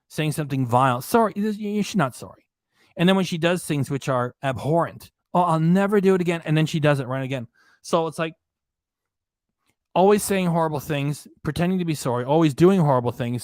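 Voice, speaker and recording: A man's voice: a little high, a little fast, a little mellow, dry recording, clean studio sound. A man hosting a reaction live stream, losing his voice, ranting indignantly and repeating himself. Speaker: reaction channel host. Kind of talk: live stream commentary reading chat. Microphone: desk microphone, hidden behind a graphic.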